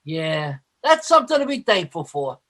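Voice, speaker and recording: An actor playing a working-class next-door pal in an old-time radio comedy, dry-witted and mock-noble, with rough grammar. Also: male voice, a little high, big pitch swings, moderate pace, pushed and bright, full character voice. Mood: agreeable, dry. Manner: wisecracking, ungrammatical, mock-noble.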